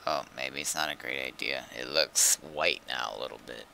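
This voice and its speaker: A man's voice: a bass voice, normal speed, gravelly, bright, forceful tone, a little hiss or hum. A man chatting casually and muttering to himself as he plays a game on stream. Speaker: streamer playing a zombie survival game. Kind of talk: casual gaming chat.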